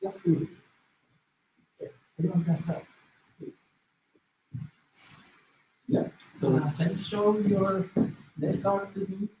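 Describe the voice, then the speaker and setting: A man with a Japanese accent lecturing to a class in a deliberate, halting way, as a native Japanese speaker. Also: sits high, steady tone, mellow. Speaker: business school lecturer. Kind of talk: interactive demo lecture with self-introduction. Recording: very echoey room, distant mic, faint noise.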